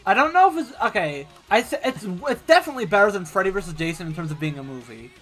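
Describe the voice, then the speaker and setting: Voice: medium pitch, sing-song, fast talker, a bit bright, soft background music. A co-host in a casual movie review chat, laughing often and restarting sentences.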